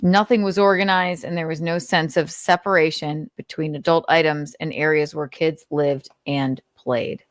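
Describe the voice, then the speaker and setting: A woman's voice: sits low, steady tone, normal speed, few pauses, no room sound, clean studio sound. A woman host giving commentary while reading aloud, sardonic and exasperated, with casual swearing. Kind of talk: commentary mixed with dramatic reading.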